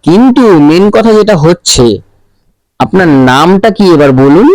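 A man's voice: sits high, expressive, normal speed, darkish tone, dry recording. A man making a prank phone call, teasing and flirting in a mock-official way. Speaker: prank caller.

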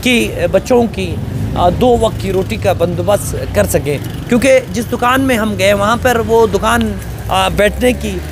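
High-voiced young man asking questions. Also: unhurried. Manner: brief, probing questions. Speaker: interviewer. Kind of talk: interview questions and reporting. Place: workshop, indoors. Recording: noisy background.

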